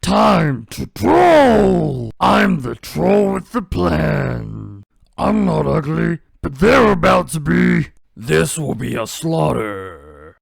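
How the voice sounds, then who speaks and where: A man voicing a brash, brutish troll from a video game, cocky and menacing, with a raspy voice. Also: on the high side, no echo, clean studio sound, pitched above the performer's own voice, heavily acted. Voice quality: gravelly, raspy. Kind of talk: video game character impressions.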